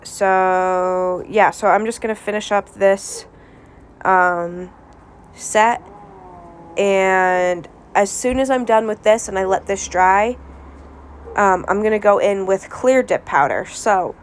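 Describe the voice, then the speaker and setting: A woman's voice: mid-range voice, takes it slowly, a little hiss or hum. A woman vlogger with a stuffed-up nose from a cold, giving a casual nail tutorial at home with lots of ums and restarts. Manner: hesitant, many ums and restarts.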